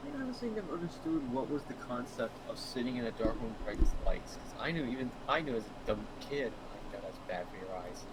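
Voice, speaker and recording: A man's voice: medium pitch, very animated, brisk, hardly any pauses, a little hiss or hum, poor audio. A man chatting casually as co-commentator on a game stream. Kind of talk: casual chat.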